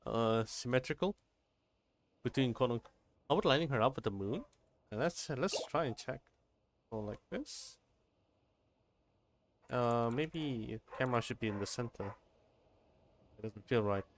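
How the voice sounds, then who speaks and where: A man's voice: medium pitch, unhurried, long pauses, a little hiss or hum. A man livestreaming a game, chatting casually and informally, often thinking aloud and repeating his phrases. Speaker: game streamer. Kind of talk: casual livestream gameplay chat.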